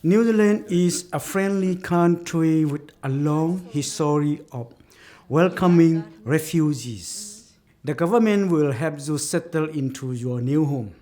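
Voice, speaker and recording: A man from Burma reads lines for an information video, taking each phrase slowly and carefully. His voice sits fairly high for a man. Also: dark tone. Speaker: refugee speaker in an information video. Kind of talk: scripted narration.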